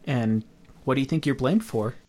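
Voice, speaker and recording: A man's voice: medium pitch, normal speed, a little mellow, soft background music. A man co-hosting a podcast with casual, joking chat about a film. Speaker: podcast co-host.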